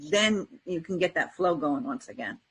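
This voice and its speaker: A woman's voice: low-pitched, animated, normal speed, a bit bright, husky, poor audio. A woman co-hosting a podcast, talking in an earnest, conversational way about spiritual things and often checking for agreement. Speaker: podcast co-host. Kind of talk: casual spiritual chat.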